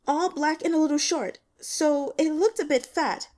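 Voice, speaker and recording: A woman's voice: high voice, moderate pace, a little mellow, voice pushed up, natural voice. A woman voicing a shaken, hesitant young female student in an audiobook. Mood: frightened, shaken. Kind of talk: audiobook character acting.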